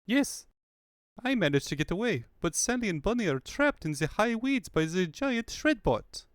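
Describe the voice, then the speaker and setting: A man voicing a frantic, high-strung male animal scout in a comic fandub. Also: very animated, moderate pace, no echo, studio quality. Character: panicky, excitable male cartoon animal scout. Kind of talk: comic fandub character acting.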